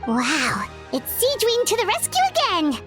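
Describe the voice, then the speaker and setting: Squeaky, excitable tiny companion. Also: female voice, a little slow, full character voice. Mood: impressed. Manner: perky, blunt, childlike. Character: tiny, excitable, squeaky female companion talking in third person. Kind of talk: anime-style video game voice acting. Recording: music under the voice.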